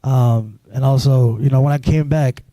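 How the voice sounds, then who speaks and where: A man from Sudan tells his story in a very dark, mellow voice, stumbling over restarts and leaning on "you know" as he goes. The sound is dry and close, with no room around it. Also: mid-range voice, fairly even intonation, moderate pace, no room sound. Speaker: guest. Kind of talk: personal storytelling interview.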